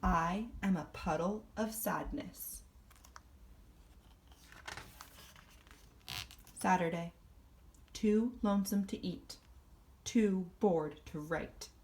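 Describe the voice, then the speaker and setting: A woman's voice: medium pitch, a little mellow, close to an everyday voice. A woman voicing a self-important, theatrical male class pet lizard in a children's storybook. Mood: mopey, melodramatic. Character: vain, dramatic male class pet lizard journaling his adventures. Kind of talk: first-person children's storybook read-aloud.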